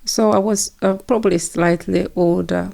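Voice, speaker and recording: A woman's voice: fairly low pitch, steady tone, normal speed, creaky, mellow. A woman in her seventies with a Kenyan accent, recalling her life in a conversational interview. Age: 70s. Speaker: interview guest, retired nurse and midwife. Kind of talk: conversational oral-history interview, recollecting.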